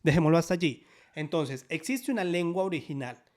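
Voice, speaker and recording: A man teaching a sign language lesson in Spanish, upbeat and explanatory, often checking in with quick questions. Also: a little high, darkish tone.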